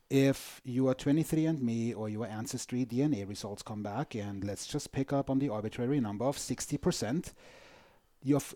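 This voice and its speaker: Mellow-voiced Austrian man explaining. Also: mid-range voice. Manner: emphatic, explanatory. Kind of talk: conversational discussion.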